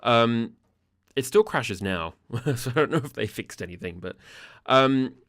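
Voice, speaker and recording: A young man with a croaky, gravelly voice from a sore throat, rambling casually with lots of ums and laughs over a game build. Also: mid-range voice, expressive, a little slow, fairly bright tone, dry recording, studio quality. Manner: rambling, self-interrupting, frequent ums and laughs. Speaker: gaming YouTuber.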